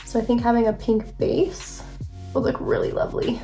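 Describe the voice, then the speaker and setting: A woman's voice: fairly high pitch, steady tone, normal speed, creaky, dark tone, soft background music. A young woman vlogger chatting casually through a makeup tutorial, sounding a little congested from allergies. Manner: chatty, rambling, self-correcting. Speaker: beauty vlogger.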